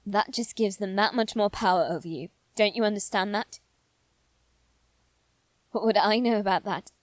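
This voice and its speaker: A woman voicing an anxious aristocratic boy in a scripted audio roleplay, speaking formally and haltingly with dramatic pauses. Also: mid-range voice, dry recording, natural voice. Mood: dismayed. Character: anxious aristocratic boy lord bound to demons. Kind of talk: scripted ASMR roleplay addressed to the listener.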